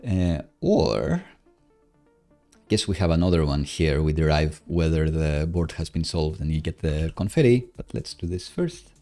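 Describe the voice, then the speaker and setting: A man with a low, unhurried voice talks through his code on a casual livestream. He muses to himself haltingly, in a mellow tone, with soft music and typing behind him. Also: lively intonation. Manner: hesitant, halting, self-musing. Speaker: live-coding streamer and host. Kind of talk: casual livestream coding commentary, thinking aloud. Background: soft background music, computer keyboard typing.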